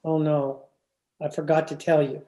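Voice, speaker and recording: Lady doing a sheepish radio sitcom husband, mellow and apologetic, roomy echo. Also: deep voice, little pitch movement, moderate pace, lightly acted. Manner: hesitant, apologetic. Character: sheepish, placating suburban husband, a mother's boy. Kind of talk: old-time radio sitcom acting. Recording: roomy, echoing home video-call recording.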